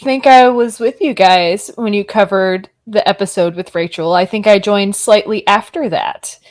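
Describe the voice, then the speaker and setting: A woman's voice: medium pitch, normal speed. Enthusiastic woman podcast guest. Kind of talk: casual podcast review chat.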